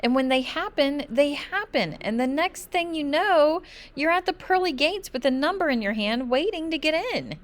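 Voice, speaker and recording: An anxious young boy from a children's storybook, played by a woman. The voice is high and squeaky, swinging widely as he frets dramatically over every possible disaster. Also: animated, moderate pace. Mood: alarmed. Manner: squeaky, breathless worrying. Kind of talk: children's storybook read-aloud.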